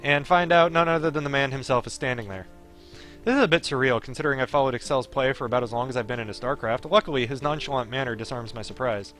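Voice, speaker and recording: A man with a bright, forward voice reads a visual novel aloud on a stream. He moves between expressive narration and casual, offhand asides about the game, with music playing behind him. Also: mid-range voice, quick pace.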